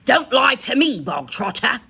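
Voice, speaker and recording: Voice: mid-range voice, big pitch swings, extremely slow, vocal fry, a bit bright, slightly rough, pitched above the performer's own voice, exaggerated voice. A reader voicing a huge, tyrannical headmistress who barks and screams insults at a pupil in a storybook reading. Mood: ranting accusation. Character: furious bullying female headmistress, huge and tyrannical. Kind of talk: audiobook character voice.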